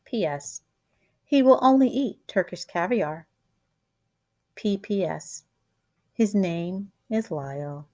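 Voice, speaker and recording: A woman's voice: mid-range voice, lively intonation, takes it slowly, long pauses, mellow. Woman reading as courteous, lilting storybook showman. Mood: courteous, grand. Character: courteous showman writing a grandly polite note.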